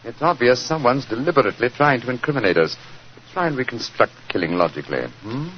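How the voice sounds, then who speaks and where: A man portrays an English gentleman detective of vintage radio drama. The mid-pitched voice moves in wide, animated sweeps, precise and assured, over a dull recording with some hiss. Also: fast talker, natural voice. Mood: reassuring, reasoning. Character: confident, analytical English gentleman detective, coolly persistent. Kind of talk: 1940s radio drama acting.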